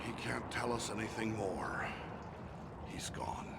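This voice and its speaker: An actor playing a grim, watchful adventurer in a fantasy video game, speaking in terse, blunt lines. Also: male voice, on the low side, little pitch movement, slow, creaky, heavy background noise.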